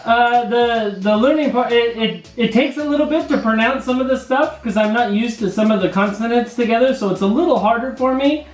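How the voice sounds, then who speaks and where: A man's voice: very high-pitched, fairly quick, few pauses. An American man from the United States chatting easily and earnestly as a guest, with small restarts. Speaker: family video creator, radio guest. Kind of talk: casual interview chat. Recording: echoey room with faint music.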